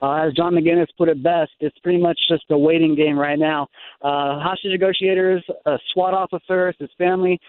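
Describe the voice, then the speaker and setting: A man's voice: fairly high pitch, steady tone, fairly quick, clear, resonant tone, no room sound. American male field reporter on a phone line. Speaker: radio news field reporter. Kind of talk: live on-scene breaking news report. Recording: thin phone-like live radio line.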